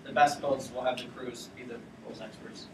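A man's voice: mid-range voice, steady tone, a little fast, fairly bright tone. A young man giving a casual talk on sailing rules to his college team, explaining things loosely with fillers. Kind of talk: informal lecture to a student sailing team. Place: lecture classroom with a projector screen. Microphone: far camera at the back of the room. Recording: roomy classroom from far off, with background noise.

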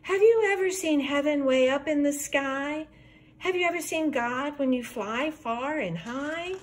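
A woman's voice: high voice, a little slow, pitched above the performer's own voice. An older woman voicing an eager, questioning little girl in a rhyming storybook. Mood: curious. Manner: pleading, questioning.

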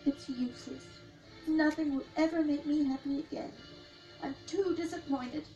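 A woman voicing a gentle, motherly young female mouse in a storybook, soft and easily worried. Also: high voice, steady tone, moderate pace, recorded from a distance, muffled recording, lightly acted. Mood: sad. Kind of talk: storybook character acting. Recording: music behind the voice.

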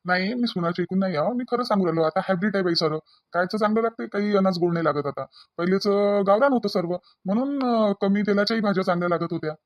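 A mild, peacemaking older husband and father-in-law , voiced by a woman in a village cartoon comedy. He talks fast and matter-of-factly in a Varhadi Marathi accent, at a middling pitch for a female voice, recorded dry. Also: no echo, lo-fi sound, close to an everyday voice. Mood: reasoning. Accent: Varhadi Marathi from Vidarbha. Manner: conciliatory, matter-of-fact.

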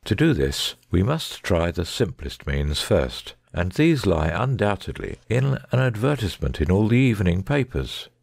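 Deep, creaky-voiced gentleman detective, played by a man. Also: very animated, normal speed, hardly any pauses, no echo, studio quality, full character voice. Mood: brisk, assured. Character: shrewd, commanding gentleman detective, composed and faintly amused. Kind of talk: dramatic audiobook reading.

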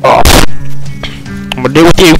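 A man talks over his gameplay in a put-on, hammy British accent. He swears, rambles and cheers as he plays, in a fairly high voice. Loud game music plays behind him. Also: moderate pace, vocal fry, rough recording. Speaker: gamer. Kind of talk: gameplay commentary. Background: game music and gunfire.

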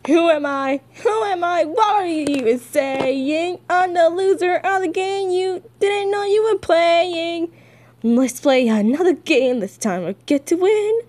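A theatrical young villain in a comic dub, acted by a woman, pitched high and lilting. The delivery is sing-song and taunting, full of playful menace. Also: unhurried, a little mellow.

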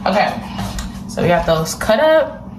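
A woman's voice: mid-range voice, sing-song, measured pace, over music. A young woman in her twenties chatting casually to viewers while she cooks in her home kitchen, filmed by a camera across the room. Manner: chatty, talks straight to viewers. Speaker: cooking vlogger. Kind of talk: casual cook-along chat. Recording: echoey kitchen, distant mic, clattering pans. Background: dishes and pots clattering.

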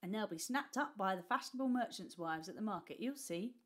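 A woman reading a storybook aloud, putting on a rough, mocking old widow of the London poor who talks coarse and brash. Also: medium pitch, lively intonation, brisk, fairly bright tone. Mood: scheming. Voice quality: coarse, scoffing delivery with dropped sounds.

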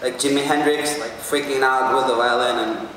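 A man's voice: mid-range voice, fairly even intonation, brisk, fairly bright tone. Rambling, enthusiastic man in an echoey hall. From Albania. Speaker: musician on a press panel. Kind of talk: casual, informal press conference talk. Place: large press conference stage, indoors. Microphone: handheld PA mic, picked up by a distant camera. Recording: echoey hall from far off, noisy.

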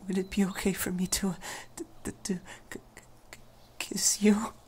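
A man's voice: high-pitched, flat delivery, moderate pace, a little hiss or hum, lightly acted. A man playing a shy, stammering, whispery young vampire. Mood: nervous, hopeful. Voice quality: soft, breathy, often whispering. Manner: heavily stammering, hesitant, apologetic. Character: shy, lovestruck young male vampire, nervous and tender. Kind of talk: ASMR romantic roleplay.

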